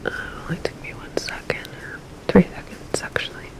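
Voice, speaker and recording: A woman playing a calm, reassuring underground hacker in a cyberpunk ASMR roleplay, speaking softly with gentle, hesitant pauses. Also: lightly acted, rough recording. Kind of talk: ASMR roleplay, personal attention.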